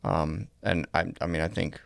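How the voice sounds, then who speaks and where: A man in his thirties co-hosting a casual podcast, speaking in a measured, tentative way close to a studio microphone. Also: low-pitched, steady tone, gravelly, a bit bright, no echo. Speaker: podcast co-host. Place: small indoor podcast studio. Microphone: close boom-arm studio microphone.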